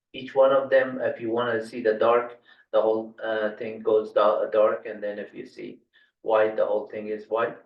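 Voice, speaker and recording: A man born in Afghanistan whose first language is Dari, giving a tech tutorial over a screen share, halting with many fillers and restarts. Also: fairly low pitch. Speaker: tutorial instructor. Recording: roomy, distant, dull lo-fi mic.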